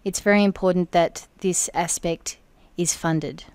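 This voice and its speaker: A woman interpreting for an interview guest in the first person, speaking in measured, deliberate phrases. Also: fairly low pitch, steady tone, darkish tone, dry recording. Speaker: sign language interpreter voicing the guest.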